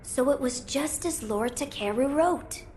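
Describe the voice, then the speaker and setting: An actress voices an earnest boy lord in a video game. The delivery is composed and courtly, with quiet resolve. Faint noise sits behind the voice. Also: high voice, unhurried. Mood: thoughtful, resolute. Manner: formal, thoughtful, composed. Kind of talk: video game cutscene acting.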